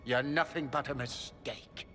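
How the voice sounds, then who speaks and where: An actor playing a proud, scheming middle-aged magister in a fantasy game, theatrical and imperious. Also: male voice, mid-range voice, fairly even intonation, measured pace, a bit bright, close to an everyday voice. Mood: contemptuous, zealous. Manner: theatrical, silky then imperious. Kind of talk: dramatic fantasy game acting. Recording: long hall reverb, music underneath.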